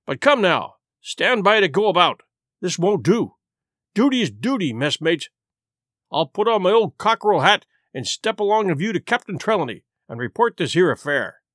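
A man's voice: high-pitched, sing-song, moderate pace, a bit bright, dry recording, studio quality, heavily acted. A man voicing a hearty, jovial old male sea cook in a storybook reading, full of salty sailor slang.

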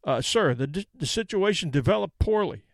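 A man's voice: high voice, animated, fairly quick, vocal fry, no echo, clean studio sound. A man playing a stammering, flustered general. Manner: hesitant, stammering.

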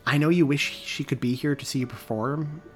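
A man's voice: medium pitch, moderate pace, soft background music, voice pushed up, close to an everyday voice. A man voicing a sweet, shy, encouraging young girl in a storybook reading. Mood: soft. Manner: soft, encouraging.

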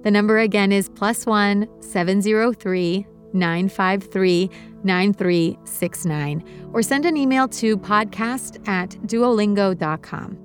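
A woman from Uruguay hosts a podcast and reads her script in a clear, composed voice at a middle pitch. Soft music plays under her words. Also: measured pace. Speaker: podcast host and executive producer.

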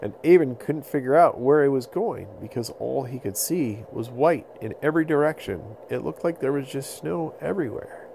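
A man telling an improvised bedtime story to children in simple, explanatory phrases with pauses. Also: medium pitch, lively intonation, creaky, dark tone, a little hiss or hum, low-quality recording. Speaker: podcast storyteller.

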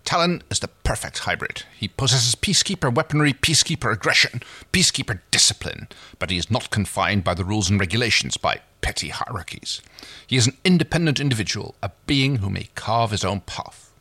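A man reading aloud and voicing a scheming male ex-commander with earnest, theatrical persuasion, his voice pushed higher than his own. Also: animated, a little fast, sharp tone, husky, no room sound, full character voice. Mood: persuasive.

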